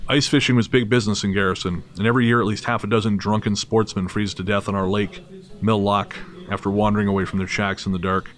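A man's voice: lowish voice, little pitch movement, quick pace, lightly acted. A man playing a sardonic, bitter son, narrating a first-person horror audio drama in a wry, brooding way. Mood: brooding, reflective. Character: Mike, bitter, sardonic middle-aged son back home. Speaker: narrator and lead actor.

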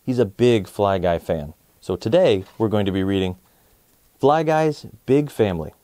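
A man's voice: fairly low pitch, lively intonation, moderate pace, warm tone, no room sound, clean studio sound. A man in his thirties hosting a children's story channel and narrating a picture book. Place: small indoor set, dry sound.

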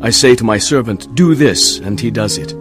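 Casting: male actor for earnest petitioner in Bible drama, mid-pitched, beseeching delivery, music clearly underneath. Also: animated, moderate pace, close to an everyday voice. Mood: humble, earnest. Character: earnest, pleading men beseeching their lord. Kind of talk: dramatised audio Bible acting.

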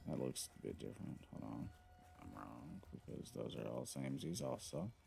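A man's voice: a bass voice, big pitch swings, moderate pace, creaky, dark tone. A man hosting a gaming video, chatting casually and rambling with lots of fillers as he plays. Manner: laid-back, rambling, many fillers. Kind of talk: casual gameplay commentary.

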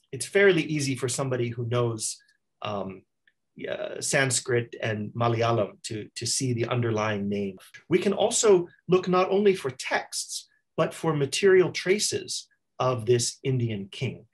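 Husky-voiced male academic presenter. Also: medium pitch, expressive, a little slow. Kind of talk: explanatory academic slide presentation. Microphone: video call.

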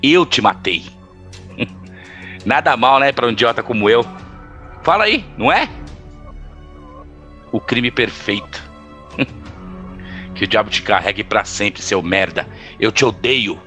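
Man acting a bright-voiced cynical schemer. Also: fairly low pitch, lively intonation, a little slow, pauses often, soft background music. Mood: gloating, hateful. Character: cynical, gloating male schemer. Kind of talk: audio drama acting.

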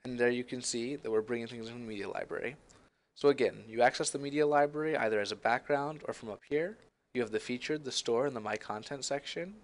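Man talking through a software demo. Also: medium pitch. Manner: friendly, explanatory, conversational. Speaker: software tutorial presenter. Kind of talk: screen-recorded software walkthrough.

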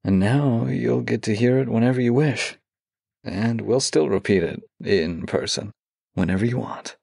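A man in a close-miked audio roleplay, speaking softly and affectionately with a teasing edge, as a voice actor addressing a loved one. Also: mid-range voice, moderate pace, gravelly, breathy edge, dry recording.

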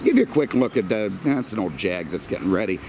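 Wry, animated American man, lowish voice. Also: fast talker, slight background noise. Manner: self-deprecating, wry, exasperated humor, mild swearing. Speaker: car review vlogger. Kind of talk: rambling casual car walkaround.

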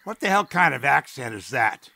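An actor playing a suspicious, prickly old farmer in an audio drama, folksy and blunt. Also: male voice, mid-range voice, big pitch swings, normal speed, gravelly, bright, forceful tone, no echo, heavily acted. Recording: faint outdoor insect ambience behind.

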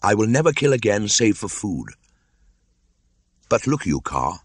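A man's voice: lowish voice, very animated, measured pace, dry recording, studio quality, voice pushed up. A man voicing an earnest young jungle boy, speaking in a raised, eager voice. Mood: firm.

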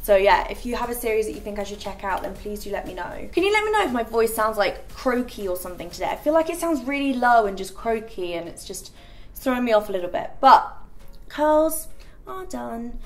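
A woman's voice: a little high, big pitch swings, a little fast. Brief: young woman, beauty vlogger, chatty and rambling, light music under the voice. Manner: chatty, filler-heavy with frequent "like". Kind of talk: casual chatty get-ready-with-me vlog. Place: bright living room set.

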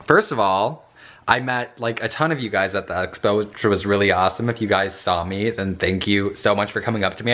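A young man vlogging at home, holding the camera out at arm's length. His voice sits fairly low, and he chats in an upbeat, easygoing way, dropping "like" into his sentences. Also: a bit bright. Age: young adult. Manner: upbeat, chatty, says "like" often. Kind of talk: casual vlog chat. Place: small indoor room at home. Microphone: handheld camera at arm's length.

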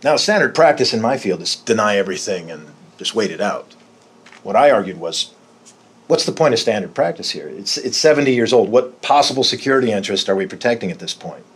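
A frank older intelligence officer in an audio drama. The voice is fairly low and husky. He speaks directly, in measured, matter-of-fact sentences. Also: expressive. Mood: earnest, matter-of-fact.

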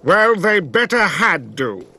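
A stern imperial envoy in a fantasy game. His voice is forceful and fairly high, lively in its swings, full of haughty scolding. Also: slow, heavily acted. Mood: scornful. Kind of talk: video game character acting.

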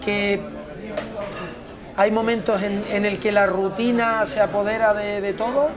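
A man in his fifties, a former footballer, talking in an unhurried, reflective way over lunch in a restaurant and often repeating words as he searches for them. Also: high voice, flat delivery, music in the background. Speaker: interview guest, former footballer. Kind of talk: relaxed conversation over a meal. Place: restaurant by large windows.

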